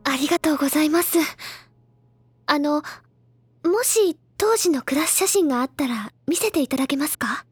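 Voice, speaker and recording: High-pitched inquisitive schoolgirl. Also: animated, moderate pace, no room sound. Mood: polite, probing. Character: inquisitive, polite high school girl, quietly sleuthing. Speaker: character in audio drama. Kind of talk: Japanese audio drama acting.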